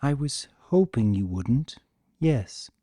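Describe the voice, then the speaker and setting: A regal winged mare from a fantasy storybook, played by a man, raised a little in pitch. She speaks slowly and politely, calm but cautious. The recording is dry. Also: no room sound. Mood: calm, wary. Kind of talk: dramatic fan fiction reading.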